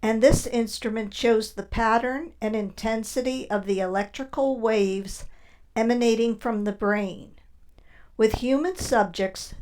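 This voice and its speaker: A clinical scientist with a fairly high voice, acted by a woman in a radio play. She explains each step in precise, formal terms. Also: a little mellow, natural voice, low-quality recording. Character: clinical female scientist conducting a formal test. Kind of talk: community radio theater acting.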